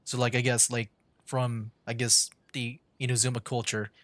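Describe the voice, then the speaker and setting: A man livestreaming a game with casual, informal commentary, thinking aloud in a halting way. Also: mid-range voice, flat delivery, a bit bright, no room sound. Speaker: streamer. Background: game music.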